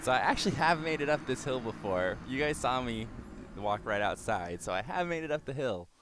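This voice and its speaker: A young man with a slightly raspy, hoarse voice giving casual let's-play commentary, swearing and mocking himself as he plays. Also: mid-range voice, expressive, brisk, noisy background. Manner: swears and exclaims at the game, self-mocking. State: hoarse from yelling. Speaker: gaming channel host.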